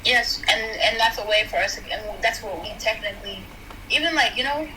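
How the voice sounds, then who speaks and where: Brief: young woman from South Sudan, bright and forceful voice, chatty debate style, faint hiss behind. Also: on the high side, brisk, rough recording. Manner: animated, talks through points with many "like" and "right?". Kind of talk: casual chat, debate.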